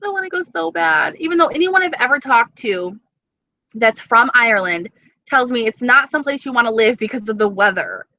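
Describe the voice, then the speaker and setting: A woman's voice: a little high, animated, a little fast, sharp tone, no room sound, muffled recording. A young woman with an East Coast accent chatting casually on a live stream, reading viewer comments and swearing freely. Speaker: beauty vlogger.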